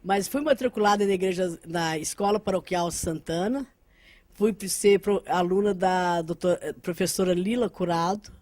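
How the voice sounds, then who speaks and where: A woman's voice: fairly low pitch, moderate pace, a little mellow. A woman whose first language is Arabic, giving personal recollections as an interview guest, earnest with hesitant restarts.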